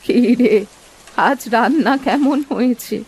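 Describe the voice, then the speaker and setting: A woman's voice: a little high, measured pace, darkish tone, slight background noise. A woman voicing a doting old village grandmother in a cartoon, fussing and scolding affectionately in homely speech. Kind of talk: cartoon voice acting. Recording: music under the voice.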